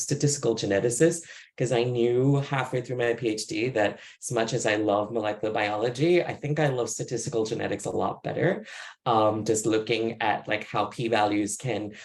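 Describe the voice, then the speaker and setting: A man from Malaysia, a data scientist, giving a casual self-introduction on a panel webinar. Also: medium pitch.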